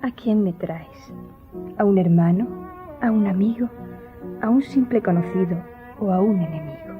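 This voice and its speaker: An actress playing a melancholy young Greek noblewoman in a radio drama, recounting memories in a solemn, formal, measured way. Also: medium pitch, natural voice. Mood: questioning. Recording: muffled audio with music behind.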